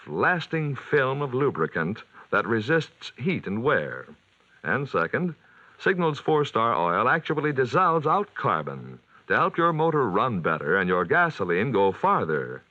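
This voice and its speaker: Old timey radio announcer guy, punchy bright voice, very animated sales pitch, dull vintage broadcast sound. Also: dry recording. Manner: polished, emphatic salesman delivery. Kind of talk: 1940s radio sponsor announcement.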